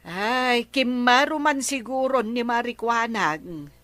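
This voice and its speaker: Grudging neighbour woman, Ilocano radio comedy, highish dry voice, full of excuses. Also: slow pace, a bit bright, no echo. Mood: gossipy. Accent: Filipino, Ilocano. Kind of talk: broadcast radio comedy drama acting.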